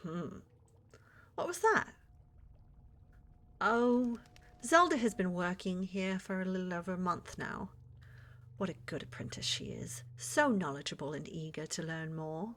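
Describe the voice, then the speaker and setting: A woman's voice: on the low side, lively intonation, a little slow, long pauses, a bit bright. A woman playing a flirty, motherly witch shopkeeper in an ASMR fantasy roleplay, with a coaxing, teasing manner. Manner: coaxing, teasing, addresses listener as dear.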